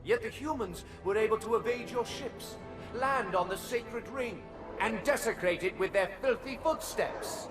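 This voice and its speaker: An actor playing a haughty, zealous alien prophet in a game, pompous, scornful and theatrical. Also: male voice, high-pitched, measured pace, music in the background.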